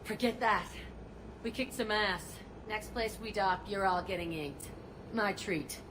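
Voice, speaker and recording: A woman's voice: medium pitch, unhurried, background noise, close to an everyday voice. A woman voicing Jack, a foul-mouthed tough biotic. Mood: brash. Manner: crude, mocking, tough.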